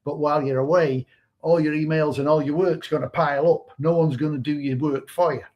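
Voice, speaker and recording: A man from England with a Manchester accent, co-hosting a casual online talk show in a relaxed, conversational way. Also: on the high side, a little fast, dull audio. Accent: Manchester English. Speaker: co-host.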